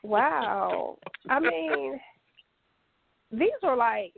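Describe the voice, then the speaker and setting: A woman's voice: high-pitched, lively intonation, extremely slow, vocal fry, no echo. A woman co-hosting a casual podcast, congested from a cold, ranting with dramatic mock outrage. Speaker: co-host. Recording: dry phone-line sound.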